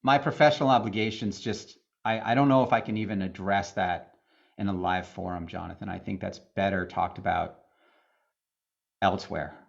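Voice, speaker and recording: A middle-aged man from the Boston area, chatting casually as a guest with dry, deadpan humor. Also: sits low, moderate pace, gravelly, lo-fi sound. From Boston area, USA. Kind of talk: casual interview chat.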